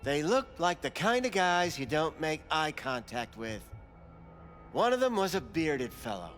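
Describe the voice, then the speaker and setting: Whiny, shifty back-alley doctor. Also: male voice, a little high, very animated, measured pace, a bit bright, soft background music. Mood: evasive, callous. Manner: stammering, defensive, prissy. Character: shifty, callous, pathetic back-alley implant doctor, whining under interrogation. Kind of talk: dramatic video game acting.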